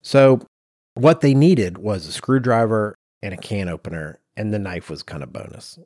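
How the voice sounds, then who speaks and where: A man's voice: on the low side, expressive, normal speed, darkish tone, dry recording, clean studio sound. A middle-aged man co-hosting a podcast, telling researched stories informally with personal asides. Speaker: podcast co-host. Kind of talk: conversational storytelling from research.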